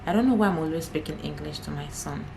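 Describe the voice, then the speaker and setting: A woman's voice: deep voice, fast, creaky, noisy background. A young Nigerian woman, an Igbo speaker, chatting casually to camera as a vlogger, laughing often and repeating herself.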